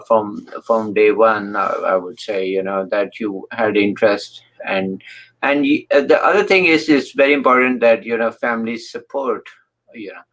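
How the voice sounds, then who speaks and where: A man hosting an interview show, asking questions haltingly with many fillers and repeated "you know". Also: lowish voice, a bit bright, rough recording. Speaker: talk show host.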